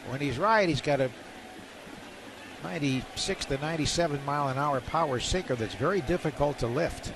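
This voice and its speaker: A man with a fairly high voice calls a baseball game live on the radio. He works from a stadium booth, with clear outdoor ambience and noise around him. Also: fairly quick. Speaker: baseball play-by-play announcer. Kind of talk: live radio play-by-play commentary.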